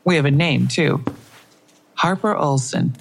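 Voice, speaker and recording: Brisk, blunt woman detective. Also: sits low, creaky, a little hiss or hum, low-quality recording. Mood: brisk, confiding. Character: brisk, abrasive female police detective. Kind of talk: full-cast audio drama acting.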